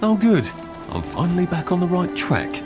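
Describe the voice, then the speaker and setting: A man's voice: high-pitched, wildly swooping pitch, moderate pace. An actor playing a polite, anxious Englishman with a hesitant, understated manner and an English accent in a video game cutscene. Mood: doubting, musing. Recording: music and rain behind voice.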